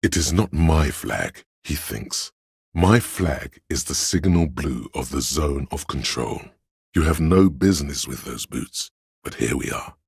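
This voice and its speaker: An actor voicing a grave, watchful, wry inner voice of police loyalty in a video game, with a gravelly, husky bass and measured, solemn storytelling. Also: male voice, animated, no room sound, clean studio sound, heavily acted. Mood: solemn, dry. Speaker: game narrator. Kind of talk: scripted literary narration.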